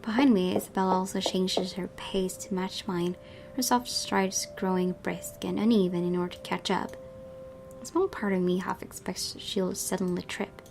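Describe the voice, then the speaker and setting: A woman's voice: mid-range voice, fairly even intonation, moderate pace, vocal fry, a little mellow, a little hiss or hum. A woman hosting a gaming video, reading visual novel narration expressively and adding casual asides.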